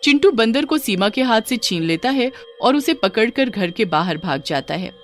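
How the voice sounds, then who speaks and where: A woman's voice: a little high, animated, normal speed, soft background music. A woman narrating a scripted children's horror story.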